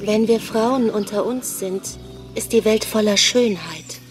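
A woman's voice: mid-range voice, a little slow, natural voice. An actress playing a serene, graceful female alien android ambassador in a radio drama, speaking in a ceremonial, gracious manner. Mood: solemn, gentle. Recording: music behind the voice.

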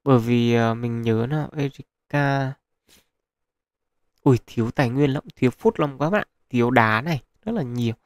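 A man's voice: mid-range voice, normal speed, no room sound, studio quality. A man hosting a gaming channel, talking viewers through a mobile game in a casual, chatty way with plenty of fillers. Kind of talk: casual gameplay commentary. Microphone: close mic, voice-over on screen capture.

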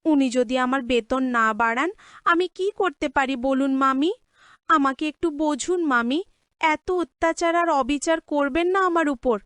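A downtrodden young servant girl in a cartoon drama, played by a woman. Her voice is very high and plaintive, beseeching and respectful, recorded dry. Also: moderate pace, fairly bright tone, no room sound. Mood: tearful pleading. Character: put-upon young orphan housemaid pleading with aunt.

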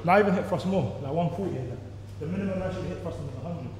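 A high-voiced young man, loud and hyped, coaches players through gym sets. His voice bounces around a large, echoey gym. Music plays in the background as the camera catches him from a distance. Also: very fast, slight background noise. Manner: loud, hyped, encouraging shouts. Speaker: fitness coach and show host.

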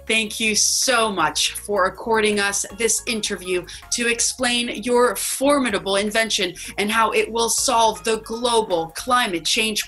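News anchor, young, bright tense voice, polished read, faint music. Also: female voice, mid-range voice. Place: plain room, webcam.

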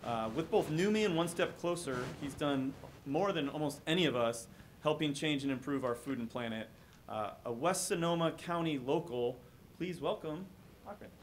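A man's voice: a little high, normal speed, slight background noise. A man at a podium mic in a conference hall, introducing the next speaker with admiring words and filler uhs. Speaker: event host introducing a speaker.

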